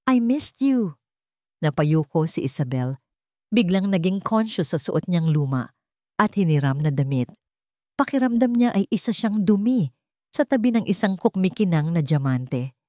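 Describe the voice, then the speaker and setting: A woman's voice: on the low side, lively intonation, normal speed, warm tone, no echo, clean studio sound. A woman with a Filipino accent reading a scripted love story as a dramatic storyteller.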